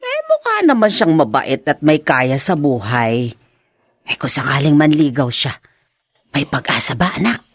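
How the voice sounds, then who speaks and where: A woman's voice: low-pitched, big pitch swings, measured pace, husky, exaggerated voice. A woman playing a hopeful, inquisitive middle-aged mother in a Filipino audio drama. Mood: curious, gentle. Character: hopeful, inquisitive older mother of a grown daughter.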